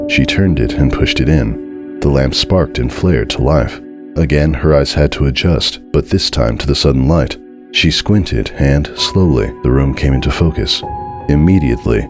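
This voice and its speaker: A man giving a dramatic, scripted narration of a horror story in a measured, deliberate and suspenseful way. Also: a bass voice.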